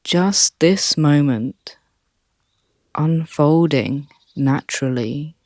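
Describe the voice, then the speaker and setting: Very slow, soft-spoken meditation guide. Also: mid-range voice, no room sound, clean studio sound. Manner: calm, soft, with long pauses.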